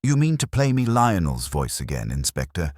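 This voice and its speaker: A man voicing a composed, proud, sharp-tongued woman suspect in a mystery story, speaking formally and sardonically. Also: low voice, big pitch swings, normal speed, no room sound, clean studio sound. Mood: cool, wry.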